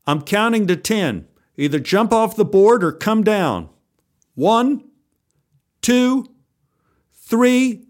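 A man's voice: high-pitched, expressive, slow pace, pauses often, voice pushed up. A man voicing a stern, exasperated pool lifeguard barking orders in a told story. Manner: loud, barking, commanding. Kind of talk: acted dialogue in a told story.

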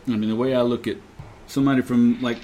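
A man's voice: mid-range voice, fairly even intonation, quick pace, warm tone, a little hiss or hum, low-quality recording. A man from the United States hosting an interview show, asking rambling, casual questions.

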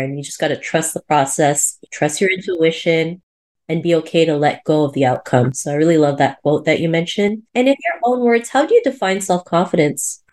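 A woman's voice: low-pitched, a little mellow. A woman born in the Philippines hosting an interview podcast, talking in an enthusiastic, effusive way. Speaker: podcast host.

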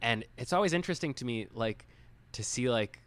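A man's voice: medium pitch, animated, fairly quick, fairly bright tone. A man co-hosting a casual discussion, talking in a loose, rambling, hedging way peppered with "like". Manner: rambling, hedging, heavy use of "like". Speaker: co-host. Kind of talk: casual film discussion chat.

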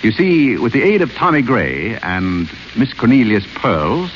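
An actor playing a polite, clever butler in a 1930s radio play, suave and understated. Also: male voice, medium pitch, very animated, unhurried, a bit bright. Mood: composed. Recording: muffled old radio broadcast with faint hiss.

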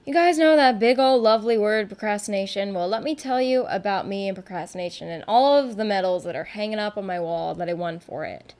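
A woman's voice: medium pitch, fairly quick, hardly any pauses, a little mellow. A woman hosting her own solo podcast from her couch at home, talking in a chatty, rambling, candid way and often poking fun at herself. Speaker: podcast host and rock musician. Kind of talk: casual solo podcast monologue.

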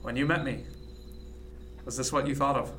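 A man playing a reserved first mate in a radio drama, quietly tender, with an understated, formal delivery. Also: mid-range voice, fairly even intonation, moderate pace, a little hiss or hum, close to an everyday voice.

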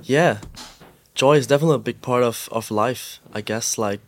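A young man from Austria, a guest in a podcast interview, talking in a relaxed way with lots of "like" and "um" and an easy laugh. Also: medium pitch, slight background noise. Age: young adult. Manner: relaxed, fills pauses with "like" and "um", laughs easily. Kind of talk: casual interview chat. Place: pop-up store.

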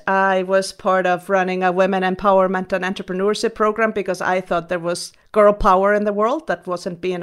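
A woman talks through her answers in a steady, mid-pitched voice. She is earnest and measured, pausing on ums and starting over now and then. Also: smooth. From Iceland. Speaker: guest. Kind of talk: reflective interview answers.

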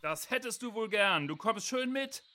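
A man's voice: high-pitched, expressive, normal speed. A man playing a stern, sarcastic guardian in a children's audio drama, dry and challenging.

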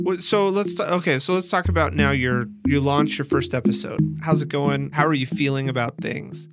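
A man's voice: on the high side, normal speed, creaky, over music. A man hosting a podcast, speaking as a narrator and conversational interviewer.

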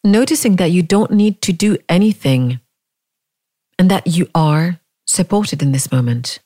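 A woman's warm, mellow voice guides a meditation at an unhurried crawl, and she leaves long pauses between gentle, inviting questions. The recording is close and dry, with no room sound. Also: lowish voice, expressive, no echo. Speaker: meditation teacher.

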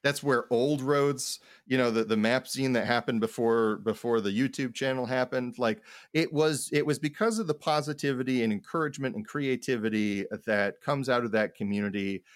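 A man's voice: mid-range voice, normal speed, hardly any pauses, no room sound, clean studio sound. A man co-hosting a podcast in casual chat, talking in a rambling, stop-start way with repeated words. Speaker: podcast co-host. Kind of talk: casual podcast chat about role-playing games.

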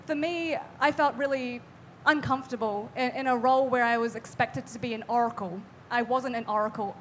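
Young woman from New Zealand, high-pitched, earnest live-panel guest; faint background hum. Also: fairly bright tone. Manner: chatty, earnest, many fillers and restarts. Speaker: artist guest. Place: live event stage.

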